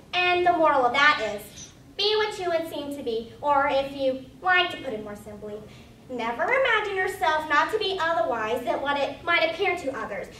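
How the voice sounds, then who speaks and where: Shrill, gushing old Duchess. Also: female voice, pushed and bright. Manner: drawn-out, singsong, gushing delivery. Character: ugly, affectionate, moralizing old Duchess. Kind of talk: readers theater performance. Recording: echoey room, distant mic, noisy.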